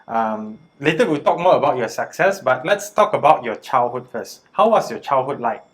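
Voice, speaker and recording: A man hosting an interview, introducing his guest and asking questions in a polite, measured way with hesitant starts. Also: medium pitch, very animated. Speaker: interviewer, host.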